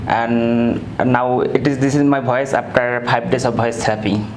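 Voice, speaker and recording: A man's voice: medium pitch, flat delivery, moderate pace. A man in his twenties from Bangladesh, answering questions plainly and briefly with a few fillers. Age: 20s. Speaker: voice therapy patient. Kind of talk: casual interview answers. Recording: echoey room, faint noise.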